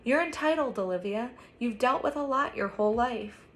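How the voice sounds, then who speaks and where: A woman's voice: on the high side, lively intonation, moderate pace, a bit bright, a little hiss or hum. A woman voicing an earnest teenage boy who can be annoyed but caring, in a story reading. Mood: soothing.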